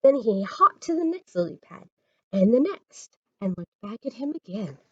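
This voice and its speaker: Woman, children's storytime reader, dramatic delivery, high pitch, very lively intonation, slightly dull lo-fi sound. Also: normal speed, slightly rough, dry recording. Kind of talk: scripted children's story read-aloud.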